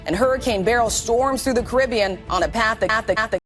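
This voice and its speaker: A woman's voice: on the high side, a little fast, a bit bright. Female news anchor over faint music. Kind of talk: scripted TV news headlines.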